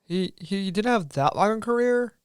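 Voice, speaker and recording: A man's voice: sits high, animated, normal speed, clean tone, dry recording, clean studio sound. A man hosting a podcast, chatting casually with an upbeat, enthusiastic manner as he steers the talk. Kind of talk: casual chat.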